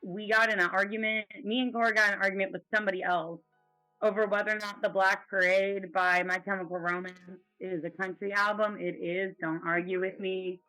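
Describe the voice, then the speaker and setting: Bright, raspy-voiced band singer. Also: male voice, high voice, brisk, faint music behind, low-quality recording. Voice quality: natural rasp. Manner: animated, laughing, emphatic. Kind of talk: casual interview chat.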